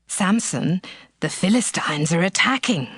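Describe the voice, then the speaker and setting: A woman's voice: on the low side, lively intonation, unhurried, a bit bright, breathy edge, no echo, clean studio sound, exaggerated voice. An actress playing a coaxing, scheming seductress in a Bible audio drama, drawing out her words. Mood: urgent alarm.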